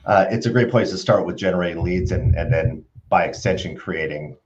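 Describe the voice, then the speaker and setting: A middle-aged man with a low voice explains things conversationally as co-host of a live stream. His phrasing is a little hesitant. The video-call sound is slightly dull. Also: a little fast, noisy background.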